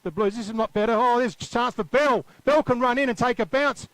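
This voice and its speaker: An Australian man doing live commentary on a local football match, calling the play with quick excitement. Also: very high-pitched, no room sound, rough recording.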